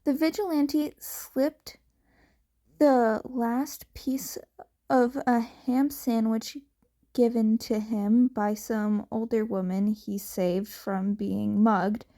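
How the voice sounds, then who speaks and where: Woman, amateur fiction narrator, high voice, extremely slow pace, scripted story reading. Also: fairly even intonation, warm tone.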